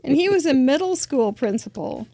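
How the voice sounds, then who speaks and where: A middle-aged woman hosting a casual interview, chatty and quick to laugh, with a squeaky high voice. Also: expressive, dark tone, no room sound, rough recording. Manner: chatty, laughs often, trailing restarts. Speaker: host of a live crafting show.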